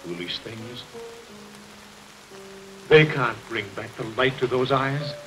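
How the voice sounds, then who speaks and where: A man playing an anguished, guilt-ridden, lovesick gentleman in melodramatic 1930s film style. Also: medium pitch, animated, slow, rough recording. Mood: mournful, remorseful. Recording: old 1930s film soundtrack. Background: music and rain.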